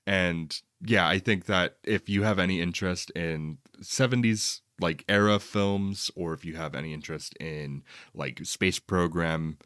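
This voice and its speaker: A man's voice: low-pitched, a little slow, hardly any pauses, fairly bright tone, clean tone, no room sound, clean studio sound. A man co-hosting a casual film chat, talkative and opinionated with a wry streak. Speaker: podcast co-host. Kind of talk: casual, conversational movie chat.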